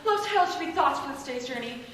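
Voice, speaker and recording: Very high-voiced, impatient lovesick girl played by a woman. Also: expressive, fast, fairly bright tone. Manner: theatrical, eager, pleading. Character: impatient, lovesick young girl of noble house. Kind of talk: Shakespeare stage acting. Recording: echoey hall, distant mic, faint noise.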